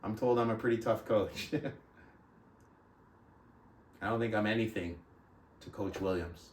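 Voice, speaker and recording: A man's voice: lowish voice, measured pace, a little hiss or hum. A man hosting a book club, reading aloud and giving motivational coaching talk, putting questions to his listeners. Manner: addresses listeners with rhetorical questions. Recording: roomy echo, distant from the mic.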